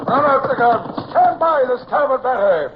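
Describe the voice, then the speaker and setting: A naval officer barking battle orders in a very high, strained voice. Heard from a distance on a dull, muffled old radio recording. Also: male voice, expressive, normal speed. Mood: commanding. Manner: barked commands.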